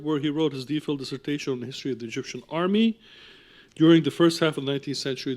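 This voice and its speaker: A man giving a formal, measured introduction as the director of an academic programme, partly reading it aloud and often clearing his throat. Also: medium pitch, darkish tone.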